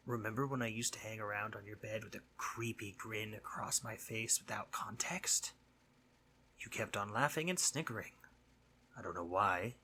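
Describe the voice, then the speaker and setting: A man playing a mischievous but concerned sleep demon in a roleplay audio monologue, husky and conversational, earnest and teasing, sometimes dropping to breathy near-whispers. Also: on the low side, sharp tone, a little hiss or hum. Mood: playful, nostalgic.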